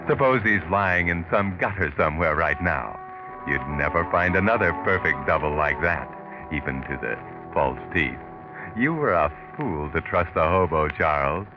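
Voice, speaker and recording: Deep-voiced, insinuating suspense narrator, taunting and ominous; old narrow-band radio with music underneath. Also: male voice. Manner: sardonic, insinuating, second-person storytelling. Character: ominous, taunting male narrator addressing the villain. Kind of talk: 1940s radio mystery narration.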